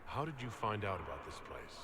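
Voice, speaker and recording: An actor playing a composed, courteous warrior leader in a video game, speaking in restrained, formal questions. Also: male voice, on the low side, sing-song, a little fast, gravelly, background noise. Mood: guarded, curious. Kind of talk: video game dramatic acting.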